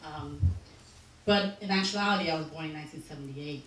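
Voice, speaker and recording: Thirties woman organizer, chatty and self-mocking, lowish voice, far off in a very reverberant, noisy hall. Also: fairly quick. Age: 30s. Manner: lively, laughing, self-deprecating asides. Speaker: community organizer. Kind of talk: informal talk to doctors. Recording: very echoey hall audio from far off, with noise.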